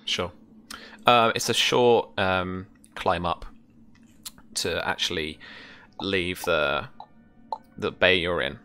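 A man in his thirties narrating a tabletop game as game master, with a hesitant, halting delivery. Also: sits low, pushed and bright, clean tone, faint music behind. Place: home room, indoors.

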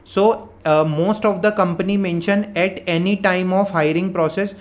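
An Indian-accented man with a fairly high-pitched voice presents an informal update for job candidates. He explains step by step, often restating a point and checking in as he goes. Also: steady tone, moderate pace. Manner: repetitive, step-by-step explaining with frequent check words. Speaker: career coaching presenter. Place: voice-over on a screen recording.

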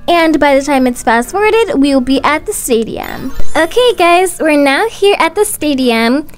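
A woman's voice: sits high, lively intonation, unhurried. A woman narrating a scripted family roleplay story, voicing its characters. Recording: music playing underneath. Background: music.

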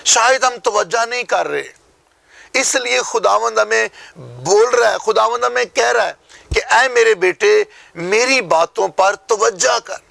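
Pakistani pastor, higher-pitched gravelly man's voice. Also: expressive, measured pace, fairly bright tone, dry recording. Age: middle-aged. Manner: emphatic, repeating phrases. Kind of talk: sermon. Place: small TV studio. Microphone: lapel mic.

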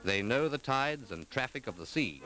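A man reading scripted narration for a 1940s documentary film in a measured, formal, articulate manner. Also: mid-range voice, expressive, sharp tone, poor audio. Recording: 1940s film soundtrack with music.

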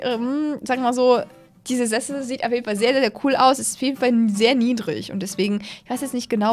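A woman's voice: fairly high pitch, darkish tone. A young woman hosting a casual gameplay video, chatty and enthusiastic, talking straight to viewers into a close desk mic. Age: young adult. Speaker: host of gaming videos. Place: small indoor streaming room at home. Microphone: close desk microphone on arm. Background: music.

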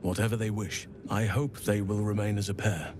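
An actor playing a solemn, gentle middle-aged elven archdruid in a fantasy game, speaking in a measured, earnest, formal way. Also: male voice, lowish voice, steady tone, background noise, lightly acted. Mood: hopeful, tender. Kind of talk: scripted fantasy game dialogue.

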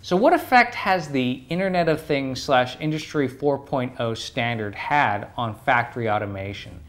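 A man's voice: mid-range voice, expressive, normal speed, a bit bright. A man in his forties hosting an interview and asking questions with an upbeat, enthusiastic manner. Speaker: host. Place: living room set.

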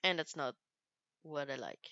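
Soft-spoken girl gaming. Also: sits low, very animated, no room sound, highs cut off. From Belgium. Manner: halting, searches for English words. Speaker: gaming youtuber. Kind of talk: casual gameplay commentary.

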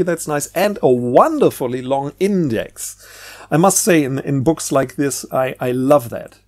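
A man with a slightly high-pitched voice talks through books casually and off the cuff. He speaks haltingly, repeating words and circling back as he rambles through his thoughts. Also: warm tone. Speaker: book review video host. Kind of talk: casual unscripted book review.

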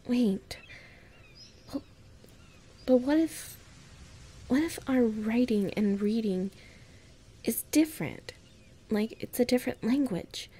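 A woman plays a clumsy young woman in an audio roleplay, anxiously reasoning things out under her breath. Her voice sits a little high, with a husky, breathy grain. She goes slowly and haltingly, as if talking only to herself. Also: warm tone, full character voice. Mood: fretful searching. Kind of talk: audio roleplay monologue, thinking aloud.

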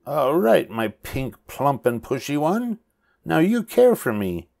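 A man's voice: on the high side, very animated, slow pace, creaky, a little mellow, pitched above the performer's own voice, exaggerated voice. A man voicing a small, bossy female witch in a storybook, with a cackling, commanding manner. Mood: bossy, demanding.

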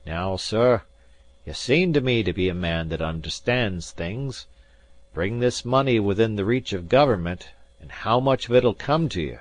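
A man voicing a proud, bold exiled Highland gentleman, speaking forcefully in an audiobook. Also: lively intonation, unhurried, dry recording. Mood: defiant. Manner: forceful, defiant declamation. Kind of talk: audiobook character voice.

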